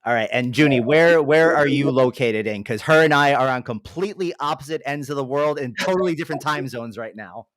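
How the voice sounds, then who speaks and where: A man's voice: mid-range voice, brisk, few pauses, a crowd in the background. A young man hosting a casual livestream, upbeat and chatty, with lots of fillers. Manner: upbeat, greets chat, filler-heavy. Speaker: livestream host.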